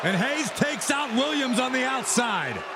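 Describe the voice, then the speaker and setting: A man's voice: extremely high pitch, sing-song, a little slow, vocal fry, heavy background noise. A man giving colour commentary on a wrestling match. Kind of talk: sports commentary. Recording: loud music and crowd behind. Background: loud arena crowd and music.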